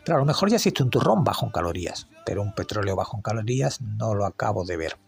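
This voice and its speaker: A man's voice: on the low side, sing-song, quick pace, gravelly, soft background music. A man delivering a read opinion segment on environmental news, with dry irony and critical remarks. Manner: ironic, critical commentary. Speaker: environmental commentator.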